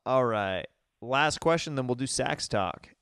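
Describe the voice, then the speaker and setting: A man in his thirties hosting a podcast, telling a story in a casual, animated way. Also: medium pitch, unhurried, smooth, dry recording, clean studio sound. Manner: animated storyteller, mimics voices in quotes. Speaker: podcast host.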